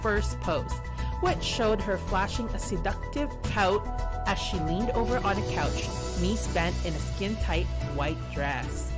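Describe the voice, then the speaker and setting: A woman's voice: mid-range voice, lively intonation, measured pace, loud music behind the voice. A woman co-hosting an online entertainment show, reading showbiz news and chatting. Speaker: co-host and entertainment news reader. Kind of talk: entertainment news reading and casual co-host chat.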